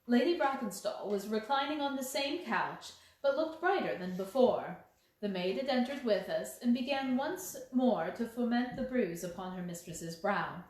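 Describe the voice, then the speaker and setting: Woman narrating an audiobook, slightly high voice, really echoey room. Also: lively intonation, normal speed, a little mellow. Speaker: audiobook reader. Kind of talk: scripted story narration.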